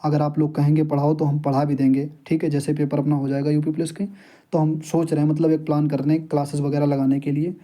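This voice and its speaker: A young man speaks in a casual vlog style. His voice sits a little higher than average yet has a very mellow, muted tone. He explains things to viewers as a peer, often restating his point. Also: flat delivery, quick pace. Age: young adult. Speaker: exam-preparation YouTuber and candidate.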